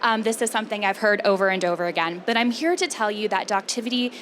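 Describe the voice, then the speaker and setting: Lady doing a chatty conference pitch, mid pitch, kinda casual. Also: quick pace, fairly bright tone, a little hiss or hum. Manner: chatty, conversational with fillers. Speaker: company vice president presenting.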